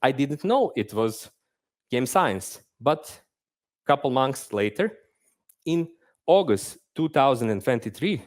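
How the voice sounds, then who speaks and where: Animated man giving a conference talk. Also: medium pitch, a little slow, pauses often. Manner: animated, conversational, self-deprecating humor. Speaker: conference speaker, game narrative designer. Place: stage at a conference.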